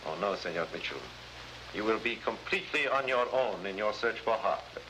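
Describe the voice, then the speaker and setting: An actor playing a formal, cautious head of government, in measured 1950s drama style. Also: male voice, fairly low pitch, lively intonation, lightly acted. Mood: grave, cautious. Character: grave, formal Latin American head of government. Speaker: actor in a supporting role. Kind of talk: 1950s spy drama acting. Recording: noisy vintage 1950s broadcast recording.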